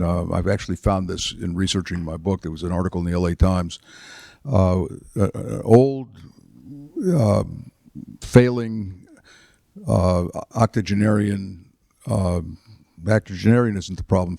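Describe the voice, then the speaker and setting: A man in his seventies, a retired politician and author, tells stories about his life to an audience in a chapel, halting with fillers and restarts. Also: sits low, vocal fry, darkish tone, no echo, clean studio sound. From United States. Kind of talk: relaxed storytelling talk.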